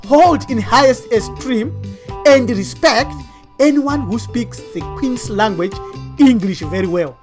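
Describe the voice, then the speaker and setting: A woman's voice: fairly high pitch, lively intonation, measured pace, soft background music. Preachy woman firing rhetorical questions. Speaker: online teacher and commentator. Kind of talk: commentary over web pages.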